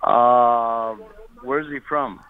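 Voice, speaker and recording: A Canadian restaurant owner taking a call, speaking bluntly and repeating himself when agitated. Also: male voice, medium pitch, fairly even intonation, drawn-out words. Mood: wary. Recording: muffled phone line.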